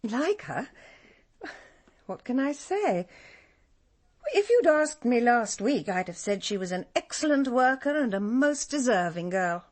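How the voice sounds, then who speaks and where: A genteel English matron in a radio drama, flustered and easily shocked. Her highish voice swoops expressively and has a slightly breathy edge, proper but self-dramatising. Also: big pitch swings, unhurried, long pauses. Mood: shocked, regretful. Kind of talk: BBC radio drama acting.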